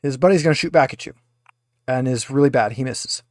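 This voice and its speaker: A man's voice: medium pitch, brisk, darkish tone, no echo, clean studio sound. A man working as game master, casually explaining rules and results in a tabletop roleplaying game.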